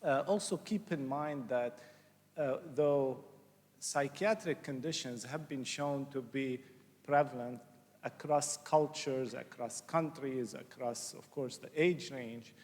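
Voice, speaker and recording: Middle-aged guy from lebanon lecturing, lots of uhs and restarts, medium pitch, big hall echo. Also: slow pace, darkish tone. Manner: hesitant, many uhs and restarts; clears throat now and then. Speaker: child psychiatrist giving a conference lecture. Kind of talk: unscripted conference talk. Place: large conference hall. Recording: long hall reverb.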